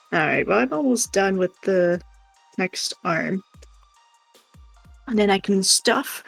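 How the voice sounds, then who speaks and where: A woman with a gravelly, husky voice chats casually on a livestream. She rambles and giggles as she goes, over faint background music. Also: medium pitch, animated, slow pace, pauses often, no echo, rough recording. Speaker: live stream co-host. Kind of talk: casual livestream chat while crocheting.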